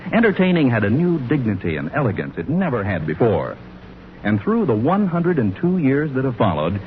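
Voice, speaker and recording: Male 1940s radio announcer, mid-pitched with sweeping intonation, polished sales delivery, muffled period sound. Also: a little hiss or hum. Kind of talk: 1940s radio scripted narration and sponsor pitch. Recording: muffled old radio recording.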